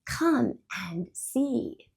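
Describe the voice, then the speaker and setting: A woman's voice: fairly high pitch, very animated, drawn-out words, creaky, a little mellow, breathy edge, voice pushed up, exaggerated voice. A woman voicing a cheerful, playful bird in a picture-book reading, explaining things patiently in a sing-song way. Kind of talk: storybook read-aloud voice.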